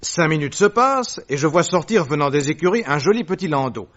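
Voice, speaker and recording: A man's voice: fairly high pitch, expressive, a little fast, a bit bright, dull audio. An actor playing a witty, eager male detective who tells things playfully and vividly in a French radio drama. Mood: excited, urgent.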